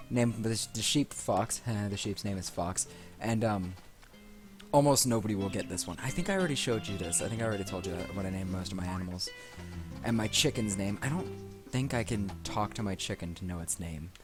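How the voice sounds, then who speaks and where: A man doing casual, rambling, self-deprecating let's play commentary, often repeating his phrases. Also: low-pitched. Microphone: desk microphone picking up the room. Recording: game music behind, desk mic. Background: game music.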